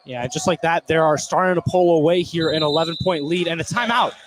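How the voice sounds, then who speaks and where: Casual man with a slightly high voice. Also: fairly quick, slight background noise. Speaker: color commentator. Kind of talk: casual live basketball analysis.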